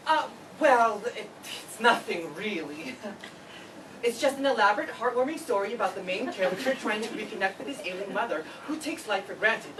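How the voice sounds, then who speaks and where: A woman's voice: mid-range voice, animated, normal speed, distant mic, close to an everyday voice. A woman playing an arrogant, flamboyant, brainy male student with an eager, self-important manner in a play reading. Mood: falsely modest. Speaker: actor in a staged play reading. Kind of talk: live theatre play reading. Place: read before a live audience, far camera. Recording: echoey, noisy room from afar.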